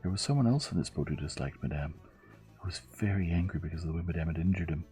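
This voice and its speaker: A man's voice: deep voice, fast, dark tone, faint music behind, voice pushed up, close to an everyday voice. Man voicing a sly, emphatic young French maid. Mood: emphatic, gossipy. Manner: emphatic, dramatic, pleading. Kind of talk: audiobook character voice.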